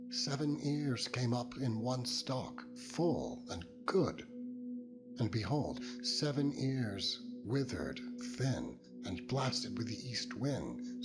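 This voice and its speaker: A man voicing a grave, troubled king of ancient Egypt, telling his tale solemnly and emphatically in dramatized scripture. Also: medium pitch. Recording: music laid under the voice.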